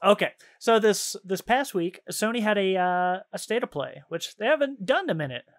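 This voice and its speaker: A man's voice: high-pitched, expressive, normal speed. A man hosting a podcast, chatting casually about video games in an informal, slangy, easily amused way. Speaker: podcast host.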